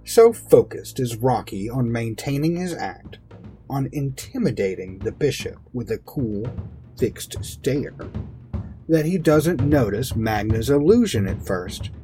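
A man's voice: mid-range voice, lively intonation, unhurried, mellow. A man giving a dramatic reading of a fantasy story in scripted narration. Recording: prominent drum music behind voice. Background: music with drums and timpani.

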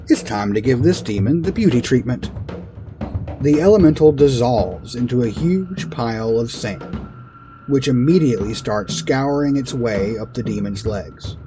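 A man narrating a comic fantasy story in a theatrical, deliberate dramatic-reading style. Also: mid-range voice.

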